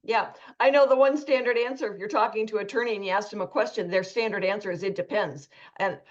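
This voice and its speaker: A woman's voice: mid-range voice, steady tone, fast. Chatty woman radio host, lo-fi. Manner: chatty, anecdotal, acts out quoted lines. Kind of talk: radio talk show chat and ad reads.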